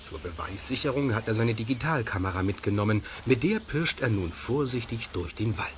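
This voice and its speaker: A man's voice: on the low side, expressive, a little fast, a little hiss or hum. A man reading scripted storytelling narration for a German children's audio drama.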